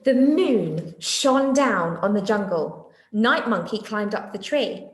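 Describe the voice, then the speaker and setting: A woman's voice: medium pitch, animated, slow. A woman reading stories expressively to young children as a schoolteacher would, addressing them directly. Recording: echoey room.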